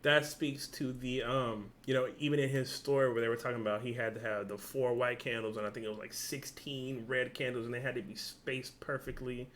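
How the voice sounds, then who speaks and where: A man hosting a reaction video, talking in a relaxed, thinking-aloud way and swearing now and then. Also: mid-range voice, fairly quick, few pauses, a little hiss or hum. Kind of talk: casual reaction commentary.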